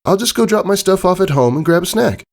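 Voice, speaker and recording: Man voicing an eager young pony girl, high-pitched. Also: animated, fast talker, a little mellow. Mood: eager, offhand. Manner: casual, whiny kid talk.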